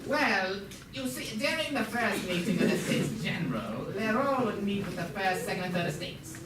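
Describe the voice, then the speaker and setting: A young student voicing a formal puppet museum guide in an amateur school show, lecturing haltingly and stumbling over words. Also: medium pitch, sing-song, natural voice. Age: teenager or young student. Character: puppet museum guide, formal, mock-learned, named Schnitzelbach. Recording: noisy, echoey room, far from mic.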